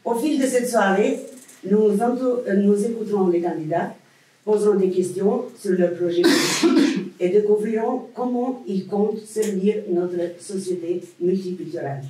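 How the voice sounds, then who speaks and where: An event host reading formal announcements and introducing speakers in a measured way. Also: medium pitch, mellow. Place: large hall at an event. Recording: echoey hall, distant mic.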